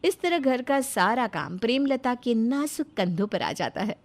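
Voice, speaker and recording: A woman's voice: a little high, very animated, normal speed. A woman giving scripted narration of a moral story as its storyteller.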